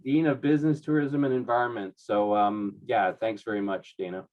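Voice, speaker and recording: A man, a college dean, giving impromptu remarks with lots of halting fillers. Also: lowish voice, steady tone, dull audio. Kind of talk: impromptu remarks at online info session.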